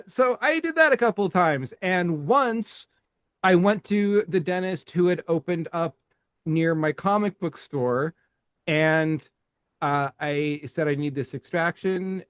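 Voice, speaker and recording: A man co-hosting a podcast, telling a story in casual chat, laughing often and talking haltingly. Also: on the high side, a bit bright, smooth, no echo, clean studio sound. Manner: laughs while telling stories, halting and profane. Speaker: podcast co-host.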